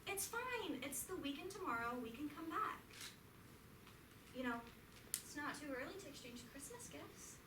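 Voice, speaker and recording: A woman's voice: high voice, big pitch swings, moderate pace, pauses often, noisy background. A woman reading the part of a frank, affectionate middle-aged wife in a staged play reading. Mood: coaxing. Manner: earnest stage reading, rising to exasperated outbursts. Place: theatre auditorium. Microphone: distant stage pickup. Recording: echoey theatre taped from far off.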